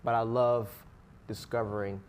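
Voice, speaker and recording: A man's voice: mid-range voice, little pitch movement, normal speed, slight background noise. A teenage boy who speaks English as a second language, answering interview questions in an earnest, rambling way with fillers. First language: not English. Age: teens. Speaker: interviewee, student actor.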